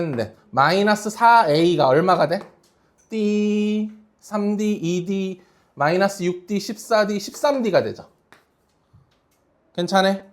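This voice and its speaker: Informal math teacher questioning a class. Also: medium pitch. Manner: casual, informal, checks understanding often. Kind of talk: classroom lesson working through sequence problems with frequent questions to students. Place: classroom with students.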